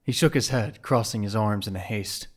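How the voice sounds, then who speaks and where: A man's voice: fairly low pitch, normal speed. A man giving scripted, dramatic story narration for an audio drama.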